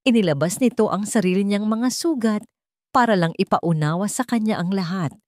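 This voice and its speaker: A woman's voice: mid-range voice, fairly quick, a little mellow, dry recording, studio quality. A woman with a Filipino accent reading a romance story as a scripted, dramatic narration.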